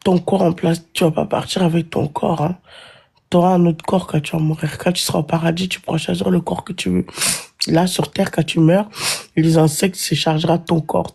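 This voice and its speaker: A man chats on a live stream, his nose blocked by a cold and his breathing heavy. His voice is mellow and dark, and he talks bluntly, dwelling on each word. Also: a little high, gravelly, slightly rough. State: stuffy with a head cold. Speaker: livestreamer. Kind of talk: casual live-stream talk.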